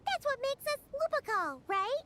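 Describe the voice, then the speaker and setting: A woman voicing a small, cheerful, loyal fairy companion in a video game, with a squeaky childlike voice and an earnest manner. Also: very animated, normal speed, pushed and bright, slight background noise, full character voice. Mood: warm, reassuring. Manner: bubbly, earnest. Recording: game audio with music behind.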